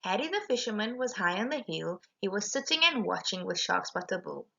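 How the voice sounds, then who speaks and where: Lively, bright-voiced woman reading a storybook. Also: medium pitch, brisk, gravelly, muffled recording. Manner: animated, emphatic. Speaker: storyteller reading aloud a children's picture book. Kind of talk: expressive rhyming storybook narration.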